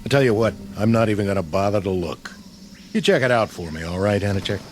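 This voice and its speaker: Man acting weary low-voiced army sergeant. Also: lively intonation, fast, a little mellow, slight background noise, close to an everyday voice. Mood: sardonic. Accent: American. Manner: sardonic, folksy slang. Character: weary, cynical American army sergeant, world-worn. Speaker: actor. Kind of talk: radio drama acting.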